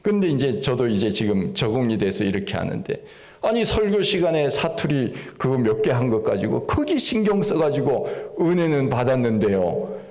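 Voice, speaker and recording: A middle-aged man from Gangwon Province preaching from the pulpit, his voice at an ordinary male pitch. He carries a slight Gangwon accent and tells stories in a homely, folksy way, pushing up to emphatic peaks on key points. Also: sing-song, moderate pace, a little mellow. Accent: Gangwon Korean. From Gangwon Province, Korea. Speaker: pastor. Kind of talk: sermon.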